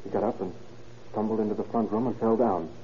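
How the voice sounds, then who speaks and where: A man's voice: lowish voice, steady tone, fast talker, mellow, close to an everyday voice. A young male suspect, played by an actor in a vintage radio crime drama. He is defensive and earnest, talking in slangy, pleading bursts. The recording is muffled, with some background noise. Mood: grim recounting.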